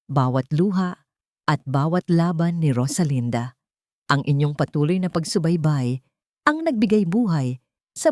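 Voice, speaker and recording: A woman narrates a scripted romantic drama in a sentimental, measured storytelling manner. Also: sits low, dark tone, no room sound, studio quality.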